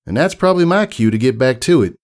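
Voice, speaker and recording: A man's voice: medium pitch, expressive, fast talker, pitched above the performer's own voice, close to an everyday voice. A man voicing a friendly, hardworking country mare who sells apples, talking in hearty, folksy rural slang.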